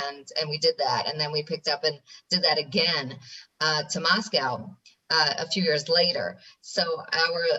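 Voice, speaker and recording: An American woman from New York speaks in a bright, forceful voice set a little low. She tells her story earnestly as a podcast guest and often restarts her phrases. She sits a bit back from the mic. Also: far from the mic, rough recording. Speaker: guest, ADHD educator. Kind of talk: interview on a podcast.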